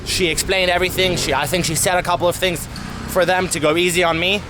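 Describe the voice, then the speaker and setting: A young man telling a travel story to camera as a vlogger, candid and animated, swearing casually, outdoors in a city street. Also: high-pitched, fast talker, background noise. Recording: music playing under the voice.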